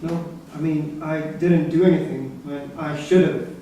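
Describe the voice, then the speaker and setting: An actor playing an eager, defensive prisoner with an earnest, protesting manner in a staged reading. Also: male voice, a little high, steady tone, a little slow, mellow, close to an everyday voice. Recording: distant echoey hall recording, faint noise.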